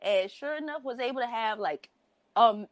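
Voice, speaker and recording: A woman's voice: a little high, lively intonation, moderate pace, dry recording. A woman chatting informally and slangily with a friend on a podcast. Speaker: podcast speaker. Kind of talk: casual chat between friends.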